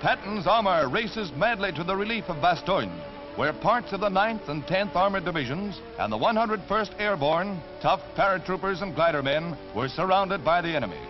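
High-pitched American man, vintage newsreel announcer. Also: lively intonation, normal speed, few pauses, over music. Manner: dramatic, formal announcer delivery. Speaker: newsreel narrator. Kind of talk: 1940s newsreel scripted narration. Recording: dull 1945 newsreel film soundtrack.

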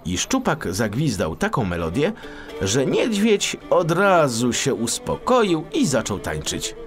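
A man narrating a scripted children's fairy tale audiobook in an expressive, theatrical storytelling manner. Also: mid-range voice, unhurried, soft background music.

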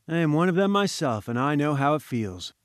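Man playing a breezy, wry gentleman journalist. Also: a little high, very animated, fairly quick, no echo, studio quality. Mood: wry, sympathetic. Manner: breezy, old-chap British slang. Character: genial, wry male journalist, firm but friendly.

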